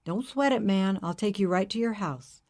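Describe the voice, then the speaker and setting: A woman's voice: medium pitch, normal speed, a little mellow, close to an everyday voice. Woman voicing a hippie truck driver, middle-aged man, folksy, drawling, genial. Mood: easygoing.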